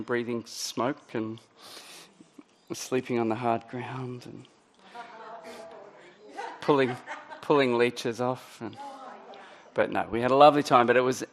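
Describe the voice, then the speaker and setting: A middle-aged man preaching a sermon in a conversational way, his voice a little croaky. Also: mid-range voice, fairly bright tone. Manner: conversational, many ums and restarts. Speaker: pastor.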